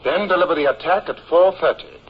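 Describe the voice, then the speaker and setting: An actor playing an orderly first lieutenant in a 1950s radio drama, with a clipped, methodical way of briefing. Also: male voice, on the high side, fast talker, husky. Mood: brisk, businesslike. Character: brisk, orderly first lieutenant of a navy frigate. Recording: muffled old radio recording.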